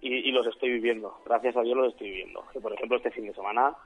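A man, a DJ and singer chatting as a guest, slightly hoarse, rambling and acting out what others say. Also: mid-range voice, fast, phone-quality sound. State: hoarse after a weekend of shows. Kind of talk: casual interview chat.

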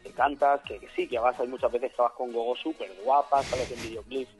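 A man, a DJ and singer chatting as a guest, slightly hoarse, rambling and acting out what others say. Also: medium pitch, steady tone, fairly quick, faint music behind. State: hoarse after a weekend of shows. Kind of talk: casual interview chat.

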